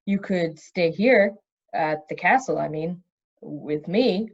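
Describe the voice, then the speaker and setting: A woman's voice: on the low side, lo-fi sound, voice pushed down. Woman voicing an earnest, hesitant young king. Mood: nervous, tender. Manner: hesitant, halting. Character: earnest, awkward young king of an enchanted forest.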